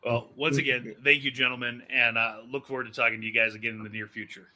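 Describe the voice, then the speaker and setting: Casual male interview host, very bright and forceful tone, middle pitch, informal and joking. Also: flat delivery, fast. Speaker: host of an audio drama interview show. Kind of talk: casual chat, hosting and signing off.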